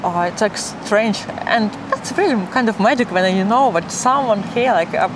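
Young woman, Russian accent, animated casual storyteller, recorded outdoors amid loud city traffic noise. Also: mid-range voice, normal speed. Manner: animated, giggly, uses filler "like" heavily. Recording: noisy city street with traffic.

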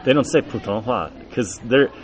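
A man in casual conversation outdoors, his pitch moving a lot as he talks. He sounds curious and likes to joke. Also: moderate pace. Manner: curious, joking, animated. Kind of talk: casual chat.

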